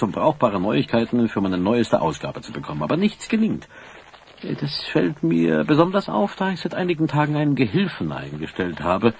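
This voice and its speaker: A man's voice: medium pitch, slight background noise. An actor playing an awkward, meekly persistent village newsman in a children's audio drama, talking formally and hesitantly. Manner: hesitant, stammering, long-winded, formal.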